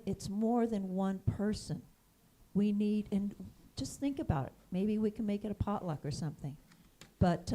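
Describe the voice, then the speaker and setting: A middle-aged woman making a church announcement into a handheld microphone, soft-spoken and hesitant, thinking aloud as she goes. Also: mid-range voice, poor audio. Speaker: facilities chair. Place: church sanctuary. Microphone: handheld mic.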